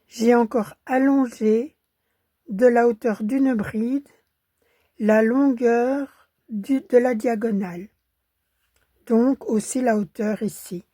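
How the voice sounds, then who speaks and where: Belgian lady with a cold, husky voice, slow calm crochet lesson, dry close sound. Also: fairly high pitch, dry recording. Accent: Belgian French. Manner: calm, counts stitches under her breath. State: hoarse from a cold. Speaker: crochet teacher. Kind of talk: step-by-step crochet tutorial.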